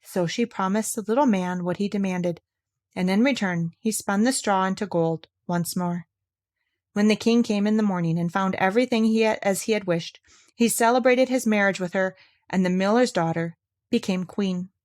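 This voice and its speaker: A woman storyteller reading a fairy tale aloud in an expressive, theatrical and clearly enunciated way. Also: mid-range voice, normal speed.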